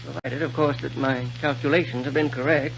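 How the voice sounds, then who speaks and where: An actor playing a kindly, eccentric scientist in a 1930s radio serial, musing and professorial, with small hesitations. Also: male voice, mid-range voice, fairly even intonation, a little fast, fairly bright tone, close to an everyday voice. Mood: proud, explaining. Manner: hesitant, musing, professorial delivery with technical jargon. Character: kindly, eccentric scientist doctor proud of his invention. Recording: noisy muffled vintage radio recording.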